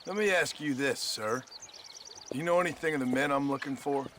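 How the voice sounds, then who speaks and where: An actor playing a laconic, world-weary American gunslinger in a video game, deadpan and polite, with an American accent. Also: male voice, mid-range voice, brisk, slight background noise, lightly acted. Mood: unruffled, firm. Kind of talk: cinematic game dialogue acting.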